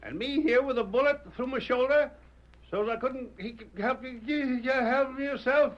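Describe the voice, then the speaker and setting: A folksy old Western deputy with a high voice and an American frontier accent. He talks plainly and emphatically, in old radio western style. The recording is muffled and vintage. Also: moderate pace. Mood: startled, wary. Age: older. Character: folksy, plain-spoken old former sheriff turned deputy. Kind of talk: 1940s radio western acting.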